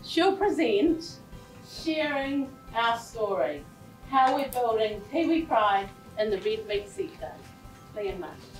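A woman with a somewhat high voice reads a formal speaker introduction. She sounds distant in an echoey hall, with music playing clearly behind her. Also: sing-song, slow. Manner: formal, read-out phrasing. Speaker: event introducer.

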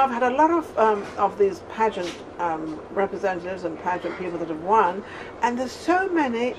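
A woman's voice: fairly high pitch, background noise. An older woman with an English accent hosting a studio interview, chatty and rambling with frequent laughter. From England. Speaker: TV host. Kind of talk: casual TV interview. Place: studio set with sofa and flowers.

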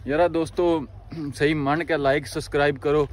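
A man's voice: on the high side, fairly even intonation, moderate pace. A young man in his twenties chatting casually to a phone camera as a vlogger, outdoors in a village, greeting his viewers as friends. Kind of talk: casual vlog chat to camera. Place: outdoors in a village, fields and courtyard. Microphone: phone camera at arm's length.

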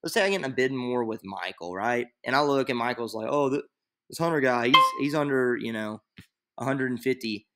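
A young man walks through a screen-share tutorial in loose, rambling talk full of fillers. His voice is bright and forward, at an ordinary middle pitch. He sits at a desk in a small room, close to his computer mic. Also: fairly quick. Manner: rambling, filler-heavy, thinks aloud. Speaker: fantasy league tutorial maker.

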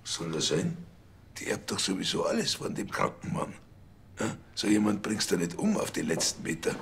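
Naive Bavarian police officer, deep creaky voice, guileless, screen comedy. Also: male voice, huge pitch swings, moderate pace, fairly bright tone, a little hiss or hum, heavily acted. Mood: matter-of-fact.

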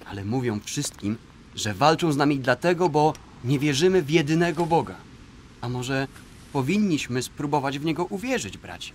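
Prince's loyal brother, radio play: reasonable, earnest, fairly high voice, faint ambience behind. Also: male voice, sing-song, normal speed, a little hiss or hum. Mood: weary pleading. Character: weary, reasonable, caring brother of a warrior prince.

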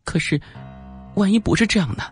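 A man's voice: high-pitched, lively intonation, a little fast, soft background music, voice pushed up. A man voicing an anxious, earnest young woman in an audiobook, worried and hesitant. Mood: worried, doubtful. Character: anxious young woman, earnest older sister seeking help.

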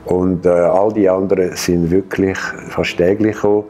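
A man in his sixties from Geneva with a French-speaking Swiss accent, French his first language, telling his own story to camera in a halting way with many hesitations and restarts. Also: low voice, flat delivery, dark tone, slight background noise. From Geneva, Switzerland. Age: 60s. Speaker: patient sharing his experience. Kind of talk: personal account to camera. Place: bright studio room, indoors.

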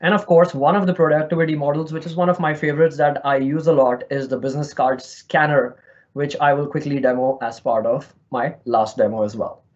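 A man's voice: on the high side, steady tone, a little fast, darkish tone, muffled recording. A man from India with a slight Indian accent, presenting a virtual conference session in a friendly, explanatory way over an online call. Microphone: remote call mic.

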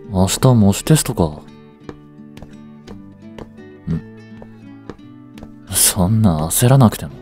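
An aloof teenage boyfriend, acted by a man in a romantic audio drama. His voice is low and very mellow, with an unhurried, nonchalant delivery. He teases curtly and dryly, hiding his fondness. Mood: teasing. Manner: cool, curt, nonchalant teasing. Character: aloof, dry, secretly fond teenage boyfriend. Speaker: voice actor. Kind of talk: romantic situation voice drama, one-sided dialogue.

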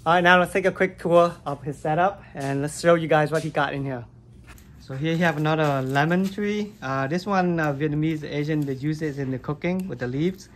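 A man's voice: on the high side, fairly quick. Chatty man touring a basement grow room. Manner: loose, chatty, fills with "like" and "um". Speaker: gardening vlogger. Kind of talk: casual how-to tour. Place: basement grow room with plants under grow lights. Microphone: handheld phone camera, filming his hands.

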